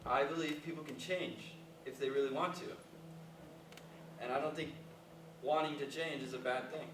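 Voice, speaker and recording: An actor in a staged play reading, playing an earnest, hesitant, reflective young dropout in naturalistic dialogue. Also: male voice, on the high side, steady tone, moderate pace, close to an everyday voice. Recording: echoey, distant, noisy live stage recording.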